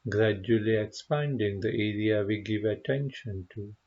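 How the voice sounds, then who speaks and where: Male meditation guide, lowish husky voice, gentle and very unhurried delivery, slightly muffled recording. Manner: gentle, unhurried, pausing often. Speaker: meditation teacher.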